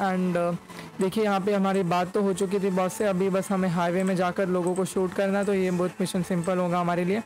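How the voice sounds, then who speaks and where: A man's voice: high-pitched, a little mellow. A young man giving casual gameplay commentary as a YouTuber, speaking close to a microphone. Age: young adult. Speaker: gaming YouTuber. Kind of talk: casual gameplay commentary mixing Hindi and English. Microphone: close microphone in front of face.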